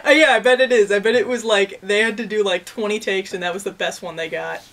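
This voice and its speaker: A woman's voice: mid-range voice, fast, fairly bright tone. A woman co-hosting a review show with casual, wry banter and commentary. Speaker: anime review show co-host.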